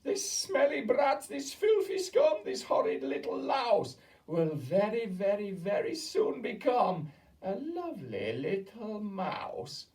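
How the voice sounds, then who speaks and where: A narrator voicing the female leader of the witches, a cruel and imperious figure with a shrill, shrieking manner, in an audiobook reading. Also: huge pitch swings, normal speed, mellow, far from the mic. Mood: gloating.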